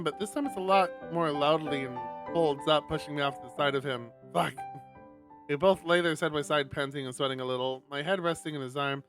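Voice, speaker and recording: A man streaming live, reading a visual novel aloud in an expressive, swearing style with loud outbursts. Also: a little high.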